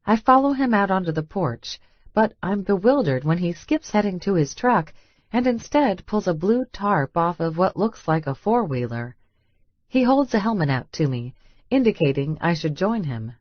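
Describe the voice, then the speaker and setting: A woman narrates a first-person romance story in a lowish voice. The recording is very dry and a little dull, with no room around her. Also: sing-song, moderate pace, no room sound. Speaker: audiobook narrator.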